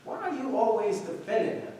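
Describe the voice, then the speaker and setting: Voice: mid-range voice, brisk, dark tone, a little hiss or hum, close to an everyday voice. An actor in a staged play reading playing a cocky, bitter young male acting student with a taunting, streetwise delivery. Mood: bitter, ambitious. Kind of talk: staged play reading, heated dramatic dialogue. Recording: distant microphone in very echoey room.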